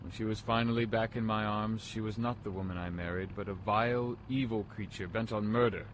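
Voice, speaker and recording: Guy playing brooding formal gentleman in a gothic audio soap, earnest, kinda deep, slight hiss. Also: fairly even intonation, a little fast, lightly acted, poor audio. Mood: brooding, bitter. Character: brooding, courtly gothic gentleman haunted by his past. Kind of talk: gothic audio drama dialogue.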